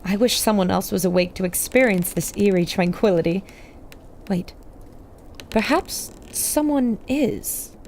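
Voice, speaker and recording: A woman's voice: mid-range voice, measured pace, a little mellow, slight background noise, natural voice. A woman playing a spirited, caring radio reporter on an expedition, confiding dramatically to listeners in a pulp adventure serial. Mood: hushed, reflective.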